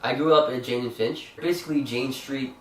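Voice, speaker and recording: A man's voice: mid-range voice, brisk, a little hiss or hum. A young man with a Canadian accent, chatting casually as a vlog co-host, rambling with fillers and restarts. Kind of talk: casual chat. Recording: echoey room, mic at a distance.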